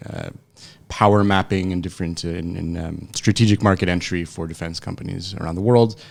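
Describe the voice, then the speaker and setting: A man's voice: low voice, fairly even intonation, a little fast, creaky. A man in his late thirties from France, answering interview questions conversationally, with frequent hesitations and restarts. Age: late 30s. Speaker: interview guest, startup founder. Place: podcast studio.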